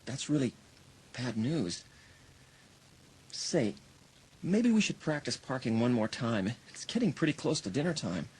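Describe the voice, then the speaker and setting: A young man playing an easygoing, slightly awkward teenage boy in a dramatised audiobook, husky and hesitant. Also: mid-range voice, big pitch swings, measured pace, pauses often, darkish tone, heavily acted. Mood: uneasy. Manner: hesitant, casual. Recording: noisy car and street ambience. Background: car and outdoor traffic noise.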